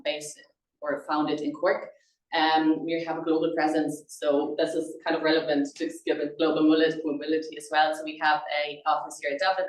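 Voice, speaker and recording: A German woman with a low voice presents a webinar in an informal, chatty way. Her German accent comes through a roomy, echoing recording, picked up at a distance from the microphone. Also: a little hiss or hum. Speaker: recruitment consultant co-hosting a webinar.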